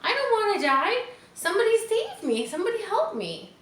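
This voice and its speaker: A woman's voice: very high-pitched, big pitch swings, moderate pace, a bit bright, roomy sound, distant mic, voice pushed up, full character voice. A woman voicing an innocent, dramatic young male pig in a storybook, with a pleading, wailing manner. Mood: panicked, wailing.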